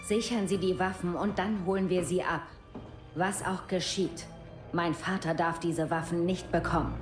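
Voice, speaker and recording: An actress playing a determined squad commander in a video game, firm and commanding. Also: female voice, on the low side, steady tone, moderate pace, close to an everyday voice. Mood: briefing, resolute. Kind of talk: dramatic video game acting. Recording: loud music behind, roomy echo.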